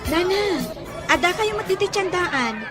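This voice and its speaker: A woman's voice: very high voice, expressive, unhurried, loud music behind the voice. An actress playing an emotional, doting mother in a radio drama, exclaiming over her son. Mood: gentle.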